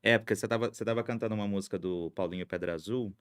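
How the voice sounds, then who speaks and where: Brazilian man, podcast interviewer, fairly deep voice, dry studio sound, informal questioning. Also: rapid-fire, a bit bright, clear, resonant tone, no room sound. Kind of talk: casual conversational interview.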